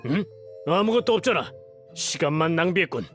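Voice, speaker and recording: A man's voice: fairly high pitch, lively intonation, fairly quick, a bit bright, soft background music. A man voicing a suspicious patrolling guard in a video game.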